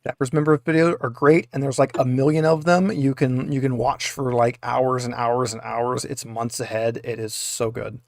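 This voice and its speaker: A man narrating a tabletop roleplaying game as game master, in a casual, halting conversational way. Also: medium pitch, flat delivery, gravelly, no room sound.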